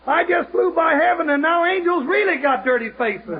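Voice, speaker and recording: A man playing a gravelly tall-tale giant. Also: very high-pitched, fairly quick, heavy background noise. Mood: boasting. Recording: tinny 1930s radio broadcast with audience. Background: studio audience.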